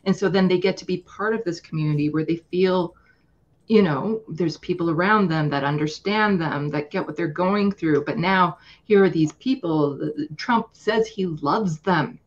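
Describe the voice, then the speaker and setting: A woman's voice: fairly low pitch, unhurried, highs cut off. A woman guest speaking earnestly and emphatically in a casual political discussion, often acting out imagined quotes.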